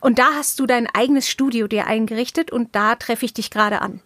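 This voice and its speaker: A woman's voice: on the high side, fairly even intonation, brisk, fairly bright tone, no room sound, studio quality. A woman hosting a podcast interview, addressing listeners and her guest. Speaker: podcast host. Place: remote connection.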